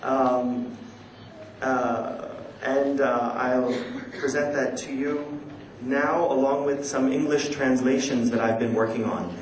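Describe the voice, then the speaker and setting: A man giving a scholarly conference lecture in a measured, somewhat hesitant and self-deprecating manner. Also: medium pitch, fairly even intonation. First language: not a native Urdu speaker, which the talk quotes. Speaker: academic presenting a conference paper. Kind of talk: scholarly lecture with poetry recitation. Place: large indoor conference hall. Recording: echoey conference hall, background noise.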